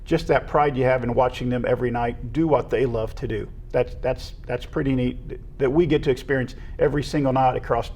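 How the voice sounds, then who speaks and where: A man's voice: mid-range voice, fairly even intonation, fairly quick, hardly any pauses, darkish tone, a little hiss or hum. A middle-aged man with an American accent, talking casually and earnestly as a guest in an interview about his work. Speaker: retiring assistant athletic director, interview guest.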